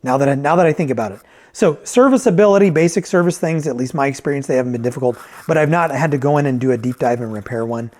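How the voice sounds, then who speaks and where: A man's voice: mid-range voice, quick pace, darkish tone. A man giving a casual, off-the-cuff product review as a reviewer and repairer, conversational and thinking aloud as he goes.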